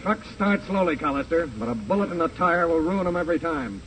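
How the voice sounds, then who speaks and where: Man acting a dry confident fed investigator, high voice, hissy old radio crime drama. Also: animated, a little fast, lightly acted. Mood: calm, wry. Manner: official, dryly wry. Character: dry, assured male federal immigration investigator. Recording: noisy 1950s radio recording.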